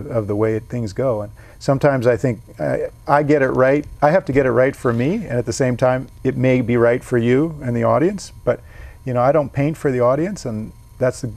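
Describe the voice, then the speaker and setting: A man's voice: medium pitch, creaky, darkish tone, no echo. A man, a painter being interviewed, talking things through thoughtfully with frequent restarts. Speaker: painter and guest. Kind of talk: casual interview.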